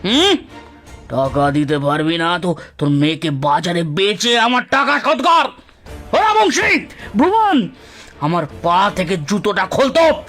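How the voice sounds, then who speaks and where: Cartoon villain: haughty moneylender bully, high-pitched, slow, mocking, music underneath. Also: male voice, very animated, fairly bright tone, heavily acted. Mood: gloating, commanding. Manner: contemptuous, mocking, taunting. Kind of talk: dramatic cartoon story acting.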